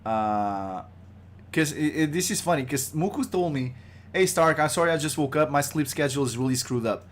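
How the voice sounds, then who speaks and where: A man's voice: fairly high pitch, animated, moderate pace, slight background noise. A man hosting a casual podcast livestream, joking and loose with a groggy voice. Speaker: podcast host.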